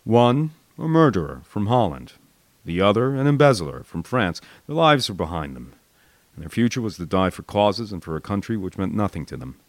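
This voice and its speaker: An American man reads a memoir aloud as an audiobook narrator. His voice sits fairly low and moves expressively in pitch, in a dry, close studio sound. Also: sing-song, normal speed, no echo. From United States.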